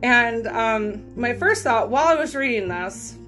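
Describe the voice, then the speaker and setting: A woman's voice: a little high, animated, measured pace, fairly bright tone, faint music behind, rough recording. A woman hosting a podcast, reading online posts aloud cold and then giving her frank take on them. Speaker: podcast host.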